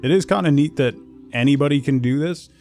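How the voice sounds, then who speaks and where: A man's voice: fairly high pitch, fairly quick, darkish tone. A man chatting casually as he streams a game, thinking aloud in short fragments. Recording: music behind the voice. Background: music.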